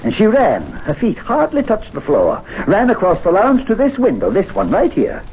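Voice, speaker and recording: An actor playing a scornful gentleman club member in a 1970s radio drama, mocking by turns and menacing, on a dull broadcast recording. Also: high voice, wildly swooping pitch, normal speed, slight background noise, exaggerated voice. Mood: furious. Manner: mocking, rapturous, then menacing.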